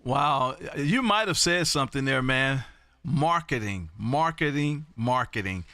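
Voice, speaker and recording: A loud, exuberant man chatting as an amateur radio livestream host, emphatic and prone to repeating phrases. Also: medium pitch, lively intonation, unhurried, slight background noise. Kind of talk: ham radio contact chat. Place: indoor home radio room.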